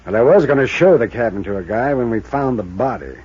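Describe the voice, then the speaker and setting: A man's voice: mid-range voice, expressive, fairly quick, a little mellow, husky. An American man acting a glum, resigned cabin owner with a plain, downbeat delivery, in 1950s radio drama style. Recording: muffled vintage radio recording.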